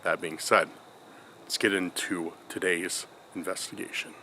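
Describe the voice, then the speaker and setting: A man's voice: low-pitched, steady tone, a little slow, sharp tone, slight background noise. A man with a congested voice, talking casually to his viewers outdoors in a forest, rambling with many fillers and restarts. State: congested from a cold. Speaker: paranormal vlogger. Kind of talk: casual vlog talk to camera.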